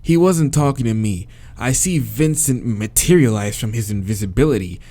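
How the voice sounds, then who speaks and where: An American man reading a visual novel aloud as a let's-play host, dramatic in the narration and breaking into slangy, expressive asides. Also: medium pitch, normal speed. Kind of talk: dramatic read-aloud with casual reactions.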